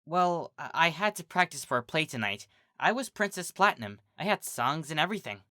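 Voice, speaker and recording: A fan reader voicing a young female unicorn filly who is flustered and defensive, speaking high and stammering. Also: expressive, fairly quick, sharp tone, no echo, clean studio sound.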